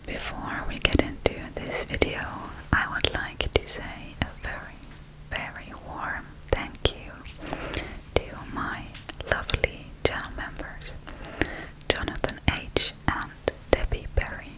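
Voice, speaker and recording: Female ASMR creator: breathy whisper, very unhurried, gentle and deliberate delivery. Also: low-quality recording. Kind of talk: soft-spoken ASMR.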